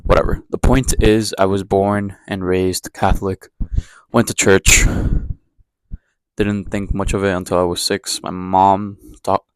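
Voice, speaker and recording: A young man from Ecuador with a somewhat low voice talks straight to the camera in a casual daily vlog. He rambles, circles back and pokes fun at himself, keeping the tone candid and unpolished. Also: little pitch movement, measured pace, smooth, no room sound. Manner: rambling, self-deprecating, candid. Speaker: vlogger. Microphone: camera mic, talking to camera.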